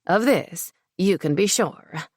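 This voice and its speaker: A woman's voice: on the low side, lively intonation, dry recording, studio quality. A woman voicing a stern older man with an Italian accent, head of a crime family. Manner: stern, measured, commanding.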